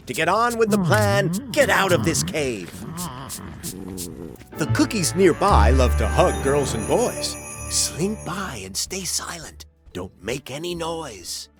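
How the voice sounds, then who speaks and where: A male storyteller with a slow, measured delivery and a highly animated pitch that swells and drops theatrically. He reads rhyming children's narration with playful, drawn-out emphasis, over prominent music.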